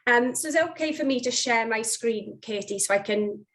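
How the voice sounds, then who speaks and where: A woman with a slightly high voice that is croaky from a cold presents her charity over a video call. She keeps an upbeat, friendly manner. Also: normal speed, fairly bright tone. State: croaky, under the weather. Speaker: charity volunteering partner. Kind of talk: online presentation.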